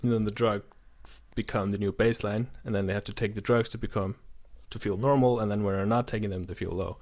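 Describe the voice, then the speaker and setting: A man with a lowish voice rambles through stories on a podcast, restarting his sentences and swearing casually. He sounds relaxed and easygoing. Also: a little fast. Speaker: podcast co-host. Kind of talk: casual chat.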